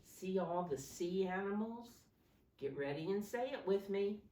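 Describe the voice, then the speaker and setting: Woman, preschool teacher, storytime read-aloud, very lively intonation, unhurried pace, simple questions for small children, roomy distant recording. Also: medium pitch. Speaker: preschool teacher reading a picture book aloud. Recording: roomy, echoey sound, distant from mic.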